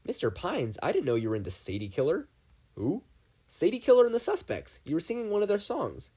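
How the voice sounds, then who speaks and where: A reader voicing a relaxed teenage girl who teases casually. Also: mid-range voice, brisk, husky, pitched above the performer's own voice, heavily acted. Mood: teasing.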